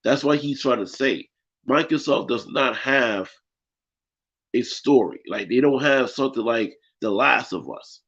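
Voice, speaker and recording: A man's voice: mid-range voice, unhurried, a bit bright, dull audio. A man hosting a casual gaming podcast, losing his voice and making his point emphatically, often asking "right?". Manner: emphatic, repeats himself, keeps asking "right?". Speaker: podcast host.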